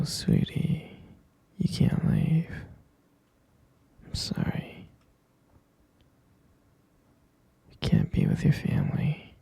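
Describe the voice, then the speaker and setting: A man playing a possessive, obsessive yandere boyfriend in an ASMR roleplay, coaxing softly and menacingly in a breathy, husky, gravelly whisper, close to the microphone. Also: fairly high pitch, dry recording, exaggerated voice. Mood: possessive, soothing. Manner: slow, coaxing, cooing with pet names.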